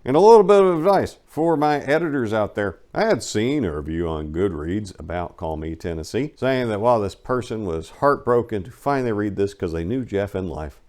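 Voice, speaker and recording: A man's voice: mid-range voice, darkish tone. A man reading his own book as a dramatic first-person narration, with a colloquial, cocky storytelling manner.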